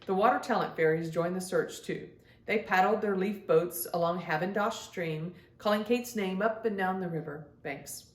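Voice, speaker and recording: A woman reading a children's story aloud in an expressive storytelling style, speaking as if to young listeners. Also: on the low side, normal speed, roomy sound. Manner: addresses young children directly, acts out dialogue. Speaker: children's storybook reader and host.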